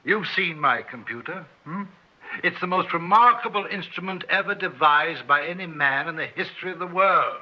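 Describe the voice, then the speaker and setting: A man's voice: a little high, moderate pace, close to an everyday voice. A man playing an arrogant, coldly superior scientist in an old-time radio drama, condescending and boastful. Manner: condescending, pompous. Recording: muffled old radio recording.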